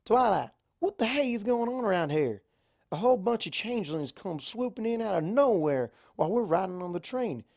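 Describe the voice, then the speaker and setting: A brash country mare from a pony story, acted out by a narrator. The voice is pitched up and loud, with folksy, exclamatory talk. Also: animated, normal speed, creaky. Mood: alarmed, excited.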